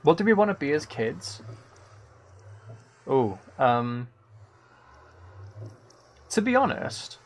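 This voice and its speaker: A man hosting a live game stream in casual chat, rambling playfully and thinking aloud into a close microphone. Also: medium pitch.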